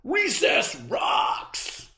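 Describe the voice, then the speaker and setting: A reader voicing a loud, wild, exuberant boy shark in a children's storybook. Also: drawn-out words, echoey room. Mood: exuberant, shouting. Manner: shouting, bellowing enthusiasm. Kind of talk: animated children's storybook read-aloud.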